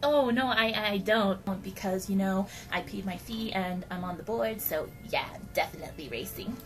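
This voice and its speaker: A woman's voice: mid-range voice, normal speed, lightly acted. A woman voicing a scrappy little outcast girl racer in an amateur cartoon fan dub, chirpy and defiant. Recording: music behind the voice.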